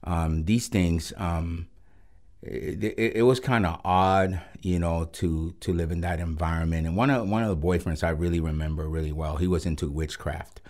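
Very deep-voiced man. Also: steady tone, darkish tone. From Puerto Rico. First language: Spanish. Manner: halting and reflective, with frequent pauses. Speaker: pastor, guest. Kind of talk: personal testimony on radio.

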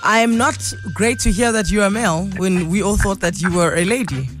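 A man's voice: sits high, brisk, music in the background. A man hosting breakfast radio, teasing callers and laughing often. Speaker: radio host. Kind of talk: lively breakfast radio banter.

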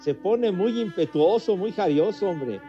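Male Mexican radio co-host, high-pitched, casual and jokey, light music underneath. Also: expressive, a little slow, warm tone, dull audio. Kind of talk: joking casual chat.

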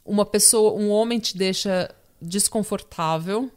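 Mulher jovem, sotaque brasileiro, tom de conversa, contadora de histórias. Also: female voice, mid-range voice, a little slow, a little mellow. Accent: Brazilian Portuguese. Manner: chatty storyteller, laughs often, many fillers. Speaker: podcast host telling a true crime story. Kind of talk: casual chat.

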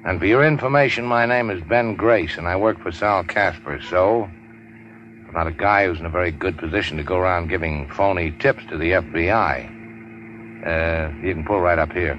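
A deep-voiced, cynical tough guy of the rackets , played by a male actor, clipped and dryly wry in the manner of 1940s radio crime drama. The sound is a muffled vintage broadcast with light noise. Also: normal speed, natural voice. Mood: cool, assured. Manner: terse, wry, hard-boiled. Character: cool, cynical, tough-talking racketeer working for a crime boss. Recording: dull, noisy 1940s radio recording.

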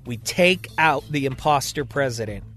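A man's voice: mid-range voice, lively intonation, moderate pace, a bit bright, lightly acted. A man voicing a blunt, matter-of-fact armed man with a direct plan.

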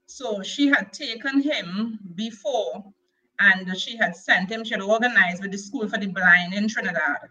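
A middle-aged woman from Tobago with a Tobagonian accent, sharing her personal testimony as an interview guest in a soft, hesitant way full of fillers and restarts. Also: medium pitch, moderate pace, low-quality recording.

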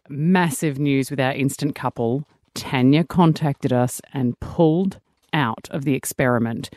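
A woman co-hosting a radio show, casual and wry, with a deep voice for a woman. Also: a little mellow, no echo, clean studio sound. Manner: wry, self-deprecating. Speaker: radio co-host.

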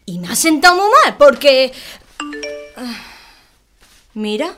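A woman's voice: high voice, expressive, slow pace, over music. A woman playing a young student daughter in an audio drama, touchy and eager, with slangy speech and an Andalusian accent. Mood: upset, chatty. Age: young adult. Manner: slangy, colloquial. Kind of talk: naturalistic audio fiction.

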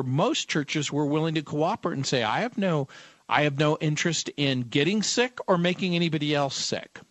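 Radio host guy, slightly high voice, thoughtful, quotes the bible, dry studio. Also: a bit bright, dry recording. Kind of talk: radio talk show chat.

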